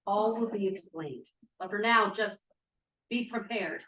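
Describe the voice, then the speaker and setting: A woman's voice: mid-range voice, unhurried, fairly bright tone, slight background noise, lightly acted. Maeve, unflappable college woman read by a woman, echoey room. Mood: cautioning. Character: Maeve, bossy, unflappable, sardonic female college student. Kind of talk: informal script table read. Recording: echoey room, distant mic.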